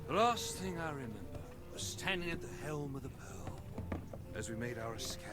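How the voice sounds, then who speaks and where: A man acts out a roguish pirate captain from a video game. His voice sits fairly high and swings freely in pitch. He rambles in sly, flowery, theatrical phrases, with game music playing behind him. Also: expressive, normal speed, natural voice. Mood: wry, musing. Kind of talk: video game character acting.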